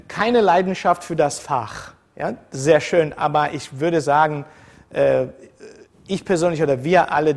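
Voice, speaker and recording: Rambling lecturer from the former Soviet Union. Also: male voice, a little high, creaky, a little mellow. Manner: digressive and conversational, many fillers and self-corrections. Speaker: university lecturer. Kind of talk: informal lecture to students.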